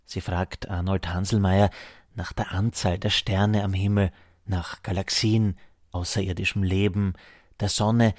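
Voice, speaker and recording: A man's voice: deep voice, level tone, measured pace, darkish tone, dry recording, studio quality. A man reading scripted narration for a radio documentary as its reporter.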